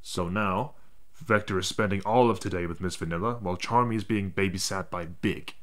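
Casting: male actor as guarded cartoon chameleon detective, stern and exasperated, sharp, lowish voice. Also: a little fast. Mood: grudging. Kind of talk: fan audio drama acting.

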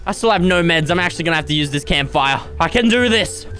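A young man yelling through his own game session in a high, bright voice that cracks into squeals and groans. His voice is getting hoarse from all the shouting, and faint music plays under him. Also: lively intonation, fast talker. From Australia. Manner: loud, dramatic, squealing yelps and groans. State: starting to lose his voice. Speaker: gaming YouTuber. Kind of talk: excited gameplay commentary.

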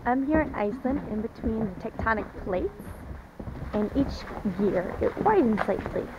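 A woman's voice: on the high side, lively intonation, normal speed. A young woman vlogging on a rocky trail, chatting to a camera held at arm's length. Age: young adult. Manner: chatty, talking to a handheld camera while walking. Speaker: travel vlogger. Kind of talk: casual travel vlog. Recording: muffled outdoor camera audio with wind noise.

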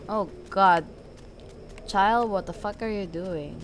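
A woman's voice: medium pitch, takes it slowly, a little hiss or hum. A woman doing casual let's-play commentary, sweary and exclamatory, talking half to herself as she plays. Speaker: gaming video creator. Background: video game ambience sounds.

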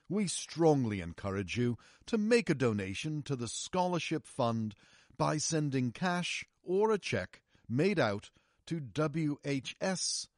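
A man's voice: mid-range voice, dry recording, clean studio sound. A man playing a showy old-time radio announcer, addressing listeners formally.

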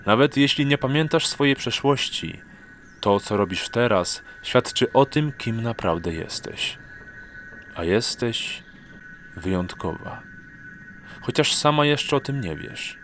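A man voicing a wise, gentle exarch in a fantasy audio drama, speaking in a grave, warm, measured way. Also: mid-range voice, lively intonation, soft background music.

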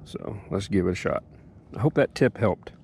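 A man's voice: lowish voice, moderate pace, gravelly, a little hiss or hum. Man talking fishing from a small boat. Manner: relaxed, explains step by step, excited bursts at catches. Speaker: fishing channel host. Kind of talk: casual fishing commentary and how-to. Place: small boat on an open lake outdoors. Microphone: head-mounted action camera.

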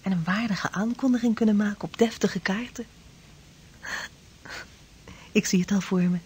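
An actress playing a devoted, yearning young bride-to-be of a seafarer in radio drama, with a breathy voice. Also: female voice, medium pitch, moderate pace, a little mellow, a little hiss or hum, close to an everyday voice. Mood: dreamy, eager. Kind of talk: Dutch radio drama acting.

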